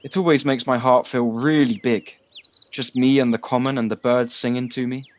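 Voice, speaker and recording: A man's voice: mid-range voice, steady tone, moderate pace, lightly acted. A young man acting a simple, earnest, friendly twenty-five-year-old in an amateur audio drama. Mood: earnest, contented. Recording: faint noise, home-recorded on personal device.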